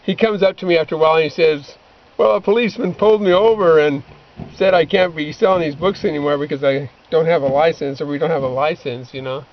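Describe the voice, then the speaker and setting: A man's voice: a little high, fast. A man, middle-aged, casually reminiscing among friends in a rambling, anecdotal way. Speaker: former missionary bookseller. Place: outdoors, back of a pickup by a creek. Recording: muffled lo-fi outdoor amateur recording, background noise.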